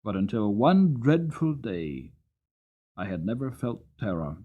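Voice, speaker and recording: A man's voice: fairly low pitch, sing-song, measured pace, slightly rough, phone-quality sound, pitched below the performer's own voice, heavily acted. A man voicing an old cavalry horse in a storybook, proud and earnest, recounting war with dramatic delivery. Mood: grave. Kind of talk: dramatic audiobook character reading.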